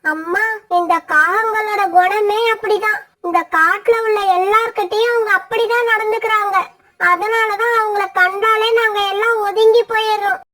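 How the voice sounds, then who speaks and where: A woman's voice: very high-pitched, fairly even intonation, normal speed, pushed and bright. A woman voicing a timid young female forest bird in a cartoon moral story, cautious, resigned and fearful. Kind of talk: animated moral story dialogue.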